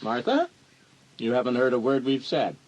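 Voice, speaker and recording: A man's voice: medium pitch, very animated, moderate pace, darkish tone, highs cut off, close to an everyday voice. A man playing a wry, admonishing father who writes, in an audio drama. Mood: reproving. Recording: faintly noisy old cassette.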